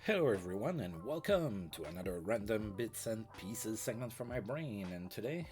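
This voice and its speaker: A man's voice: fairly low pitch, big pitch swings, moderate pace, a little mellow, soft background music. Stuffy-nosed man calling a baseball sim. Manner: chatty, fillers, play-by-play calls. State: stuffy from allergies. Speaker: let's play host. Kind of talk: casual baseball sim commentary. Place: home streaming setup.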